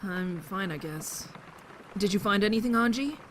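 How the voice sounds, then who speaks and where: An actor playing a bored, reserved high school student in an audio drama, speaking in an understated way. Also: normal speed, fairly bright tone, natural voice. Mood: subdued. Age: young adult. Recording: rainy ambient noise behind.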